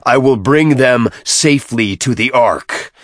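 A man voicing an ancient alien machine oracle for an audiobook, booming out slow, solemn proclamations. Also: no room sound, studio quality, natural voice. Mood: solemn resolve. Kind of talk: audiobook character voice.

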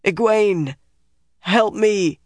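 A woman's voice: fairly low pitch, a little slow, no room sound, clean studio sound, pitched above the performer's own voice. A woman narrator voicing a tortured young man in an audiobook, strained and pleading desperately. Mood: frantic pleading.

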